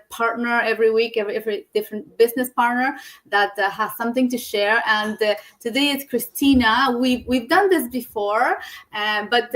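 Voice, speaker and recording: A woman hosting a live-stream chat on a video call. She talks in a halting way and often restarts her phrases. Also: a little high, fairly bright tone. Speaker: host.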